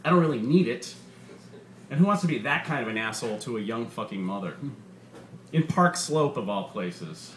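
A man's voice: mid-range voice, expressive, moderate pace, lightly acted. A man voicing a bitter, sardonic robot stand-up comedian with a profane, punchy, menacing delivery. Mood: ranting. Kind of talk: stand-up comedy routine in a short story reading. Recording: roomy bar with faint background noise.

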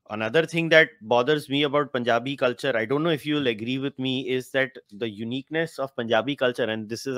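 A man's voice: mid-range voice, a bit bright, no room sound. A young man with an Indian accent, a podcast host who states blunt opinions and reads book passages aloud, mixing English and Hindi. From Mumbai, India. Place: room with bookshelves. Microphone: headphones and studio mic.

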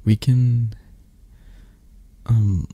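A man playing a possessive, tender, flirtatious mafia boss in an intimate, soft-spoken ASMR roleplay, murmuring and coaxing with hesitant phrasing. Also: medium pitch, steady tone, very slow, slightly rough, no echo.

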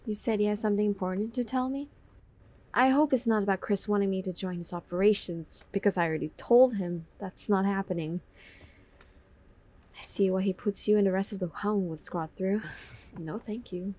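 A woman's voice: mid-range voice, steady tone, moderate pace, dark tone, close to an everyday voice. A troubled teenage girl played by a woman in a game drama, with a mid-range voice. She is guarded and sarcastic, and sounds wounded underneath. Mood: wry, guarded. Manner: sarcastic, defensive. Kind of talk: video game fan dub acting.

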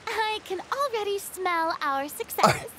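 An actress playing an upbeat, businesslike female film director in a video game, brisk and in charge. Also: extremely high pitch, animated, bright, forceful tone, a little hiss or hum, heavily acted. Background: faint game music.

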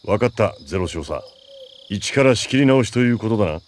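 An actor playing a gruff, terse special forces soldier in a dramatic video game, stoic and wary. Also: male voice, fairly low pitch, expressive, fairly quick, a little hiss or hum, lightly acted. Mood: dry, stoic.

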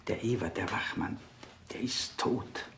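An actor playing a middle-aged museum director, subdued, flustered and halting. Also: male voice, fairly low pitch, a bit bright, a little hiss or hum. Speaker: actor in TV crime comedy.

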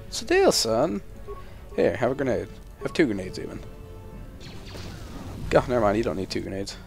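A man's voice: on the low side, sing-song, moderate pace, vocal fry, soft background music. A young man giving casual, sarcastic and sweary commentary while playing a video game. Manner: sarcastic, profane running commentary. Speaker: gaming video host.

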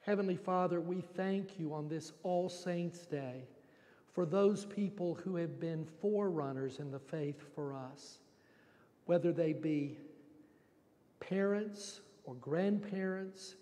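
An older man, a pastor, giving a gentle, folksy children's sermon from the front of a church sanctuary on a handheld mic. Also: a little high, slow. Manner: gentle, folksy storytelling with homely examples. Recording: long church reverb.

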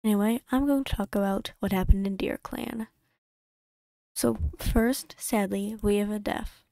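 Woman creator, mid-pitched and smooth, dry close desk mic, chatty rambling voice-over with many restarts. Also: warm tone, dry recording. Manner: rambling, self-correcting, quick asides. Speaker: YouTube creator. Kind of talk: casual voice-over narration of a game playthrough. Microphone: home desk mic.

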